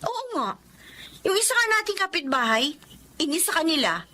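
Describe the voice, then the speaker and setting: A woman's voice: extremely high pitch, sing-song, moderate pace, sharp tone, exaggerated voice. A woman playing a caring, plain-spoken daughter-in-law of a poor family in a Filipino radio drama. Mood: concerned.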